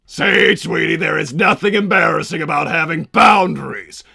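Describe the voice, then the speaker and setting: Casting: bombastic cartoon mad-scientist villain, doting but hot-tempered, high-pitched male voice with heavy rasp. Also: normal speed, bright, forceful tone, no echo, studio quality, exaggerated voice. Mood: indignant, firm. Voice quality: gravelly, raspy. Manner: blustering, bombastic. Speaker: livestream host. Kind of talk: in-character livestream chat.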